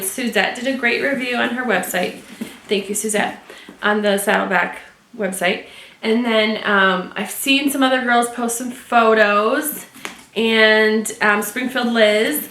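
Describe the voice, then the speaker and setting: A woman's voice: a little high, fairly bright tone. A woman from Michigan chatting casually to viewers while unboxing and reviewing a leather tote at home. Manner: chatty, talks to viewers while handling the bag. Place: home room. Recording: echoey room with faint noise.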